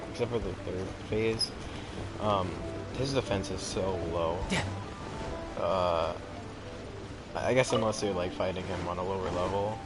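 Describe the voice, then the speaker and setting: A young man giving casual gameplay commentary, rambling and hesitant and often repeating himself, with game music behind him. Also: low-pitched. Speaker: gaming video creator.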